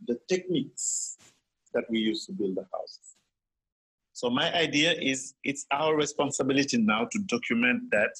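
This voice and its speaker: A man's voice: medium pitch, low-quality recording. A man from Senegal, an architect, answering interview questions earnestly, with frequent restarts and repeated words.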